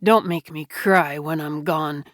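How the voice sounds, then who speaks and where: A woman's voice: low voice, steady tone, slow pace, fairly bright tone, dry recording, studio quality, pitched below the performer's own voice. A woman voicing a dying man who speaks weakly and tenderly in a storybook reading. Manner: faint, strained, tender. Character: dying man, gentle and weak.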